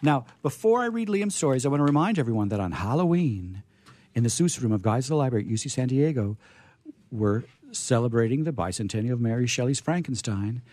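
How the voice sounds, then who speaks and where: A man's voice: mid-range voice, expressive, fairly quick, darkish tone, no echo. A man hosting a radio theatre show in a casual, playful, rambling way. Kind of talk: casual, chatty live hosting.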